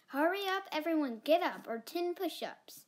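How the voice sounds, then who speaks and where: A woman's voice: high voice, lively intonation, normal speed, pitched above the performer's own voice. A young female performer voicing a strict, bossy female gym teacher in a home doll video, curt and commanding. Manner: barking orders, curt. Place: carpeted bedroom floor.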